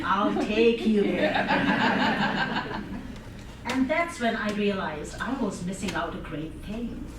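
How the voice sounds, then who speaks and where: A woman with an Indian accent giving an interactive speech, asking the audience questions and laughing often. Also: a little high. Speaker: public speaker. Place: conference room with audience. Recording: echoey room, voice from far off, noisy.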